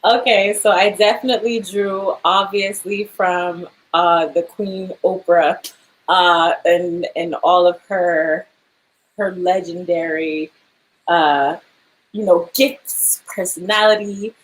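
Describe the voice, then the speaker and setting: A woman's voice: on the low side, steady tone, slow, poor audio. Chatty, laughing young woman. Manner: chatty, laughs often, many fillers. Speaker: actor being interviewed. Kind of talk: casual interview chat.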